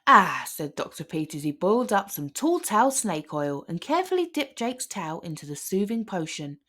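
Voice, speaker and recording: A woman narrating a children's picture book aloud as an expressive storyteller. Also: mid-range voice, moderate pace. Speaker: storybook reader.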